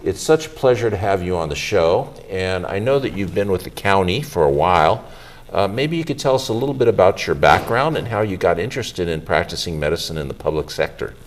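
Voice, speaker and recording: A middle-aged man, a physician, hosting a health show, presenting in a measured, formal way and interviewing a guest. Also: sits low, animated.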